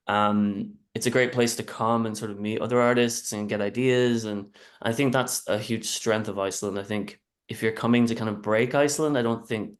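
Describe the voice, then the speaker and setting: An Irish man introducing himself on a panel in a relaxed, hesitant way with many fillers, talking over a video call. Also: fairly low pitch. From Ireland. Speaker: music publisher panelist.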